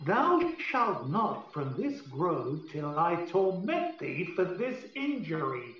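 An imperious, scheming fairy king acted by a man delivers Shakespearean radio verse theatrically. His voice is high for a male and swings dramatically, heard from a distance in very heavy echo with faint music. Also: sing-song, exaggerated voice. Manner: theatrical, commanding, drawn-out delivery. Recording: very echoey, distant, muffled, music behind.

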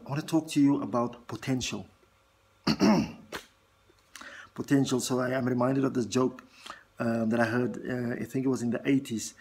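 A man's voice: medium pitch, a little mellow, breathy edge. A South African man in his forties, whose first language is Afrikaans, talking straight to the camera in an earnest, rambling way with lots of fillers and restarts, filmed up close indoors. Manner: earnest, thinks aloud with many fillers and restarts. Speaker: vlog host. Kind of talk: casual direct-to-camera talk.

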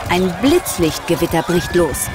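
A woman's voice: lowish voice, very animated, unhurried, over loud music. A woman narrating a scripted children's story.

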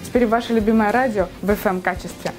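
A woman reading an upbeat radio station promo in a lively, emphatic way. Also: mid-range voice, quick pace, soft background music. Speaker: radio announcer in an ad.

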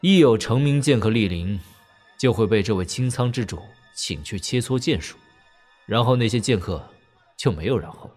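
Low-voiced, wry male storyteller. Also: expressive, moderate pace, a little mellow, faint music behind. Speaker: narrator. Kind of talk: scripted storytelling with wry asides.

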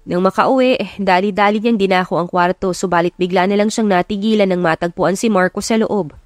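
A woman's voice: mid-range voice, fairly even intonation, fairly quick, dry recording, studio quality. A woman with a Filipino accent reading scripted, dramatic story narration as an audiobook narrator. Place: close, dry recording with music behind.

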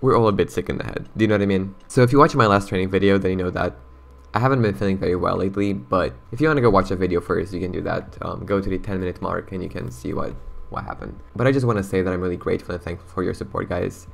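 A man's voice: low-pitched, fast, creaky. A young Romanian man, a native Romanian speaker, chatting casually in English while playing a game, rambling and saying "bro" and "like" a lot. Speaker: gaming channel host. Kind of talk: casual gameplay commentary and chat.

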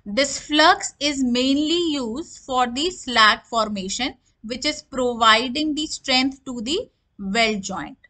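A woman's voice: high voice, expressive, slow, sharp tone. A woman giving a technical lecture over slides, explaining each term and then restating it in simpler words.

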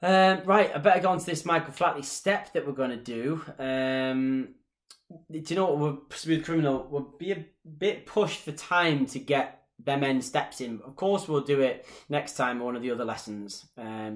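A man's voice: medium pitch, moderate pace. A man in his thirties with a Mancunian Irish accent, chatting casually with viewers during a live stream from his living room. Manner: chatty, gestures a lot while talking. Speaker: dance instructor answering viewer questions. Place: small living room at home, indoors. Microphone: webcam at desk distance. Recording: roomy home webcam sound.

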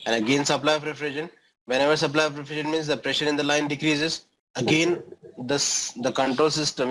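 A man's voice: on the high side, a bit bright, rough recording. A middle-aged man with an Indian accent giving an explanatory lecture as an engineering instructor, often checking understanding with short questions and tags.

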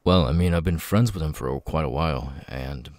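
A man playing a nervous, grateful young outcast with vocal tics in an ASMR roleplay, speaking haltingly and repeating words. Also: a little mellow, no echo, clean studio sound. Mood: hesitant, weary. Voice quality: very deep voice with stuttering, sudden high-pitched tic outbursts.

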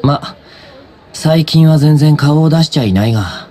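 A man playing a blunt, laid-back teenage high school boy in a Japanese anime game, with casual, gruff, slangy phrasing. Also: animated, a little slow, dark tone. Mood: offhand.

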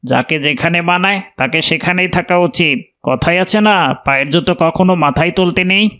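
A man's voice: fairly high pitch, normal speed, bright, forceful tone, clean tone. A man voicing an arrogant, snobbish rich young man in a cartoon, boastful and disdainful. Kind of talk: cartoon voice acting.

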